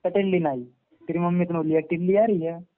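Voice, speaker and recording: Man, Haryanvi accent, high-pitched, argumentative domestic phone talk, thin telephone-quality recording. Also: steady tone, fairly quick. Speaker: husband on a phone call. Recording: muffled narrowband phone call recording.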